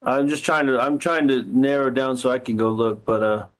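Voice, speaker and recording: Medium-pitched man asking halting questions by phone. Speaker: caller questioning the other person. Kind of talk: probing phone conversation. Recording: recorded phone call.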